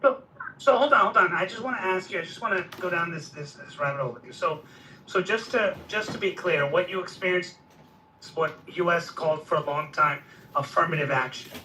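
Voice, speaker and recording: A man's voice: sits high, fairly quick, pushed and bright, far from the mic, a little hiss or hum. A man hosting a discussion, probing with questions and cutting in to steer the talk. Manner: probing, interrupting. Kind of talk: podcast discussion. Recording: roomy echo, off mic.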